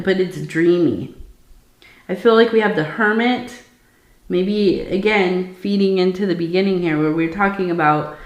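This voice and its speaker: A woman reading tea leaves in a soft-spoken ASMR style, hoarse from losing her voice, rambling with many fillers and a dry mouth. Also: on the low side, echoey room. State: losing her voice, sore throat. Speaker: tea leaf reader.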